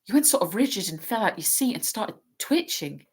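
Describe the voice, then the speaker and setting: A woman's voice: mid-range voice, very animated, a little fast, slightly rough, pitched above the performer's own voice. A woman reading aloud and voicing a nervous, frightened teenage boy.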